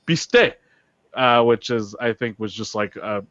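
A man's voice: on the low side, unhurried, fairly bright tone, no room sound. A man appears as a podcast guest, hesitating and filling gaps with plenty of "uh"s. He comes through a dull, lo-fi microphone. The sound is dry, with no room around it. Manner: hesitant, many fillers. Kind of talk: casual chat.

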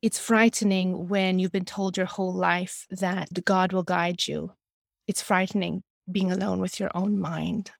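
A woman's voice: on the low side, fairly even intonation, unhurried, gravelly, dry recording, studio quality. A woman interviewer asking thoughtful, appreciative questions.

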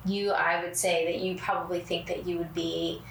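A woman hosting a podcast in a casual chat, with a soothing, even cadence and many fillers. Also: lowish voice, normal speed, creaky. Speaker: podcast host. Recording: roomy, distant mic, faint noise.

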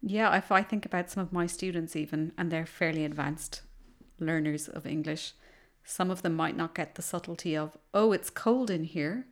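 A woman who teaches languages, interviewing a colleague in a measured, reflective way. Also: on the low side. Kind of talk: casual conversation.

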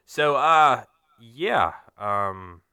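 A man's voice: medium pitch, animated, extremely slow, bright, forceful tone, smooth. A man hosting a casual gaming podcast, rambling and swearing with lots of fillers, a little nasal and sniffly from a cold. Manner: rambling, profane, frequent fillers and restarts. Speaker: podcast host.

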